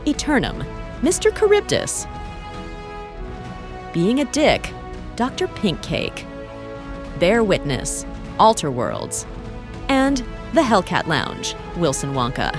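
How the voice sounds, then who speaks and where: An announcer reading out lists of award nominees slowly. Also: mid-range voice, huge pitch swings. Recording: music underneath.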